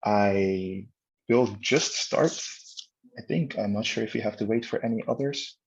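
A man's voice: on the low side, a little slow, gravelly, slightly rough. A young man from Bonaire, a student, giving an earnest talk as guest speaker over a video call. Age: young adult. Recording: dull lo-fi call audio.

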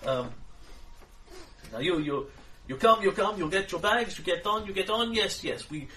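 A man's voice: high-pitched, animated, normal speed, sharp tone, recorded from a distance, pitched above the performer's own voice, rough recording. A man voicing a boisterous ship captain with a put-on Italian accent, talking in broken English and repeating his phrases.

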